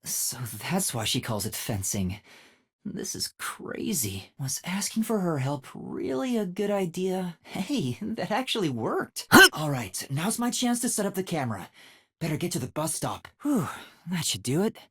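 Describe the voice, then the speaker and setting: A man voicing an anxious, earnest young male detective in a video game, with a soft, light, boyish voice and a hesitant, polite delivery. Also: high-pitched, very animated, a little slow, breathy edge, no echo, studio quality, heavily acted. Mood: thoughtful, unsure. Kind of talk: English video game voice acting.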